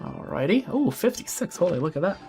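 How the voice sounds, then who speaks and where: A man's voice: sits high, very animated, fairly quick, darkish tone, faint music behind. A young man chatting casually while playing a game on a livestream, rambling with lots of restarts and self-deprecating asides. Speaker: streamer. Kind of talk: casual gameplay livestream chat.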